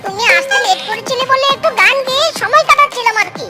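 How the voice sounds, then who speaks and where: Cartoon fox thief, male, extremely high voice, boastful and wheedling, exclamatory delivery, loud music underneath. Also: quick pace. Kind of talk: Bangla children's cartoon voice acting. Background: children's music.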